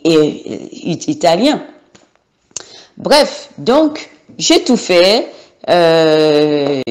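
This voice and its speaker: Woman from Gabon, thirties, slightly low voice, hesitant spoken testimony, many fillers. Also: a little mellow. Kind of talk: personal testimony, unscripted.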